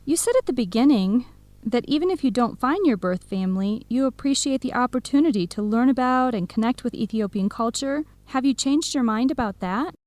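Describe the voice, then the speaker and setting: A woman's voice: on the high side, lively intonation, brisk, darkish tone, dry recording, lightly acted. An actress playing a caring, frank female friend in a radio drama. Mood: concerned, questioning.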